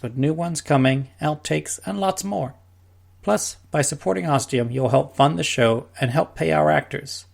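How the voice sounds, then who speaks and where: A man with a gravelly, fry-edged voice at a middle pitch reads a podcast intro and promo on a close mic. His delivery is scripted, smooth and upbeat, like a host pitching his show. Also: a little mellow.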